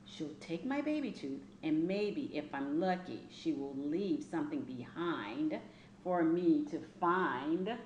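Female storyteller, middle-aged, slightly low voice, deliberate expressive read-aloud for kids, roomy echoing home recording. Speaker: teacher reading a children's book aloud. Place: small plain indoor room. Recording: roomy echo, faint background noise.

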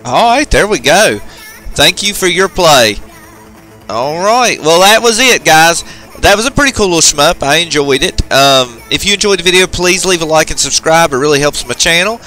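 Bright-voiced man doing casual game commentary. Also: a little high, expressive, normal speed. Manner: informal, chatty, mildly slangy. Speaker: video game channel host. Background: arcade game music.

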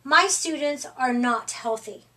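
A woman's voice: sits high, unhurried, pushed and bright. A woman voicing a kindly but firm female fox schoolteacher in a storybook, emphatic and encouraging.

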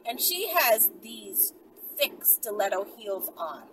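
High-voiced young woman, noisy car vlog. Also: slow. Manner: animated, conversational, frequent checking tags like "right?". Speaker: vlogger. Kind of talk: casual chatty storytelling to viewers. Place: inside a car in daylight. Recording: distant mic, noisy car interior.

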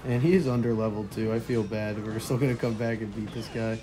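Hoarse low-voiced young man gaming. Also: fast talker, background noise. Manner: relaxed, chuckles quietly while playing. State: hoarse, partly lost voice. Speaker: mobile game streamer. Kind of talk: casual gameplay commentary.

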